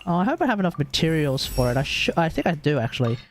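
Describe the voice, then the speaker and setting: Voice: medium pitch, big pitch swings, fast talker, slight background noise. An Australian streamer giving casual gaming commentary, muttering to themselves and mildly swearing as they go. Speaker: livestream host, gamer. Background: video game music.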